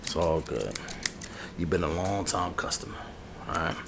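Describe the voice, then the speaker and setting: Casual, rambling man over clear background noise. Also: deep voice, lively intonation, normal speed. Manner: slangy, rambling, familiar. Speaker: ASMR barber roleplay creator. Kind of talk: ASMR roleplay with casual chat.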